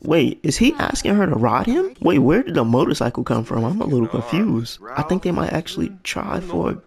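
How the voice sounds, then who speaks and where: A man casually commenting on his game, thinking out loud and getting excited as things happen, with a raspy voice. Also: a little high, brisk, crowd noise. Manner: thinks aloud, excited and unsure. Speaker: gaming channel host.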